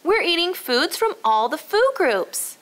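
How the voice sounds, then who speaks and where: Woman putting on an excited, high-pitched storybook boy. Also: unhurried, exaggerated voice. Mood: pleased. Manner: exclaiming, enthusiastic. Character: excited, eager young boy on a food adventure. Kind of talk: children's storybook read-aloud.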